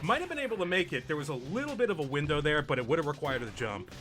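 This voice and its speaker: A man's voice: fairly high pitch, expressive, very fast, fairly bright tone, soft background music. A man giving casual commentary as he plays through a video game.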